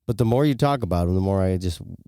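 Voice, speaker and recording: Deep, mellow man bantering casually. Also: expressive, rapid-fire, smooth, dry recording, clean studio sound. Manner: joking, colloquial reactions. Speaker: podcast co-host.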